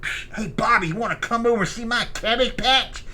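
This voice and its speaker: A man's voice: very high voice, brisk, bright, forceful tone, a little hiss or hum, voice pushed up. A man voicing eager, naive children chatting earnestly on walkie-talkies in a comic skit.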